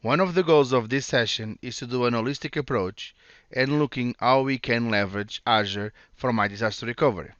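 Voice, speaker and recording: Man, Portuguese accent, conference talk, smooth voice, dry muffled recording. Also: medium pitch, fairly bright tone, dry recording. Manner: earnest, halting with restarts. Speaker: solution architect presenting.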